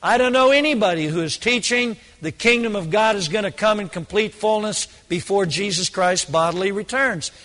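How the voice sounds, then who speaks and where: A hoarse man preaching a sermon, emphatic and repeating phrases for stress. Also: sits high, normal speed, hardly any pauses, a bit bright, in a large hall.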